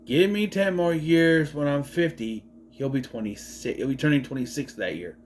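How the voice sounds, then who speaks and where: A man's voice: a little high, moderate pace, slight background noise. A man in his late thirties giving a casual movie review, rambling, swearing freely and wandering off on tangents. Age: 30s.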